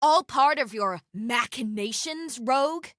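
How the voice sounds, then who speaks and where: An actress playing a stern, vengeful interrogator in a video game, clipped, commanding and threatening. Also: female voice, sits high, animated, a little slow, fairly bright tone, no echo, clean studio sound. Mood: scornful. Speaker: game character voice.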